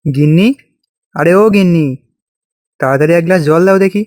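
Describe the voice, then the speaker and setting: A man's voice: on the high side, brisk, a little mellow, no room sound, clean studio sound, lightly acted. A man voicing a hardworking village farmer in a cartoon, with a folksy, exclamatory way of talking. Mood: hopeful. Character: hardworking village farmer husband, hopeful and plain-spoken. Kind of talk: cartoon voice acting.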